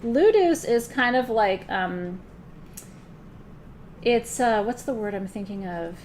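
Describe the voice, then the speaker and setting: A woman's voice: a little high, animated, takes it slowly, a little mellow, a little hiss or hum. A woman teaching a live spiritual class in a loose, conversational way, often checking in with her listeners. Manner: loose, frequent fillers and restarts.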